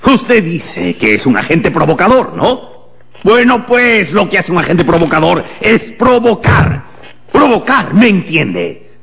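An actor playing a haughty, contemptuous embassy official in a radio drama, emphatic and hectoring. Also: male voice, mid-range voice, vocal fry, fairly bright tone, husky, exaggerated voice. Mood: irate, scornful. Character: imperious, contemptuous embassy official, pale and melancholy. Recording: long hall reverb, music underneath.

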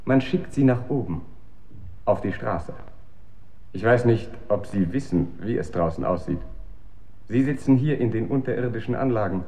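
An actor playing a male military administration official in a radio drama, businesslike, insinuating and quietly menacing. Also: fairly low pitch, lightly acted. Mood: veiled threat. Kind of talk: German radio drama acting.

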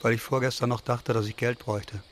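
A man's voice: mid-range voice, little pitch movement, a little fast, slight background noise, close to an everyday voice. An actor playing an introverted, tidy, mild-mannered man in a naturalistic radio drama, speaking hesitantly. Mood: subdued, hesitant.

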